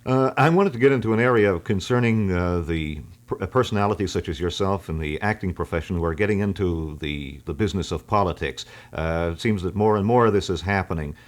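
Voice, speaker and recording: A male radio host of a call-in show, asking questions haltingly with many fillers. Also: low-pitched. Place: radio studio.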